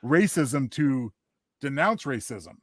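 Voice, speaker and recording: A man co-hosting a casual conversation, speaking in a thoughtful, somewhat halting way. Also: medium pitch, big pitch swings, no echo, studio quality. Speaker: co-host. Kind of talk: casual chat.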